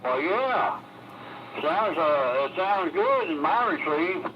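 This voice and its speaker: Dull CB radio signal, clear static noise, man, Southern US accent, casual chat. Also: a little high, lively intonation, slow pace. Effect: CB radio transmission. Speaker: CB radio operator.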